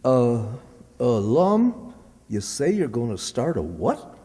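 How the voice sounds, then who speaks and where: A folksy, henpecked country man played by a male actor in an old-time radio comedy, with a drawl. He is slow and mellow but swings widely up and down in pitch. The voice rings in a big hall. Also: huge pitch swings. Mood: puzzled. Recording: reverberant hall stage performance.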